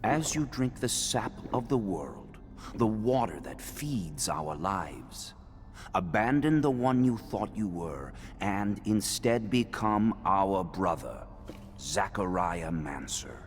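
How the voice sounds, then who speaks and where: A very low-voiced grand master of a secret order, grave and ceremonial. He speaks with measured authority, as in dramatic video game dialogue, over a faint low rumble. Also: big pitch swings, heavily acted. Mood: solemn, ritual. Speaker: voice actor in a role-playing video game.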